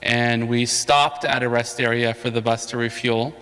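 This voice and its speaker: Man at a forum, bright crisp voice, reverberant hall. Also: medium pitch, level tone, moderate pace. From Somalia. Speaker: campaign surrogate speaker. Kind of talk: personal story at a public forum.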